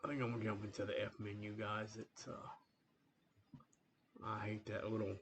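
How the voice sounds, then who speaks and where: A man hosting a train-game playthrough in a rambling, relaxed way, full of fillers, a little sniffly from a coming cold. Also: fairly low pitch, normal speed, slight background noise. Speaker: gaming channel host. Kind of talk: casual gameplay commentary.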